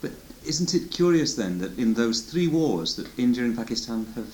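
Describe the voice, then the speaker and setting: A man asks probing follow-up questions as an interviewer, in an even, mid-pitched voice. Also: normal speed, a little hiss or hum.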